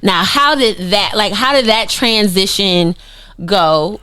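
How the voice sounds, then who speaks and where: A woman hosting a radio show, interviewing a guest with quick follow-up questions and frequent affirmations. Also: mid-range voice, lively intonation, bright, forceful tone, clear, resonant tone, dry recording. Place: radio studio.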